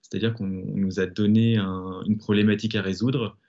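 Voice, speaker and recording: A man in his thirties giving a measured testimonial, often repeating words as he searches for them. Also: lowish voice, little pitch movement, dark tone, lo-fi sound. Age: 30s. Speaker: former banker, now data consultant. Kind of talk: testimonial interview.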